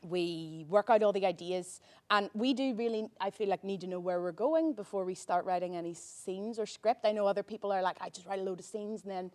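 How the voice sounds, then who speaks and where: Irish female screenwriter, panel guest, halting and chatty. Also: medium pitch, a little mellow. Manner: hesitant, many ums and restarts. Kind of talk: panel discussion.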